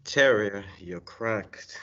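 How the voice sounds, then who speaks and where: A young man playing a bossy, exasperated radio studio colleague, stern and sarcastic, in a high school radio play. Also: drawn-out words, pushed and bright, full character voice. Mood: threatening. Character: gruff, bossy, exasperated male radio show boss. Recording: dull lo-fi recording.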